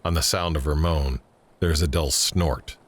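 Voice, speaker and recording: A man's voice: a bass voice, steady tone, moderate pace, heavy background noise. A man narrating an audio drama, reading scripted scene descriptions in the present tense.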